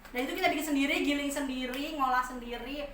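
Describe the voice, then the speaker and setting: A woman's voice: high-pitched, steady tone, quick pace, a bit bright. A woman, speaking informally as a business owner explaining her products in an interview. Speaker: food business owner, podcast guest. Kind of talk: casual promotional interview talk. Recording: echoey room, distant mic, faint noise.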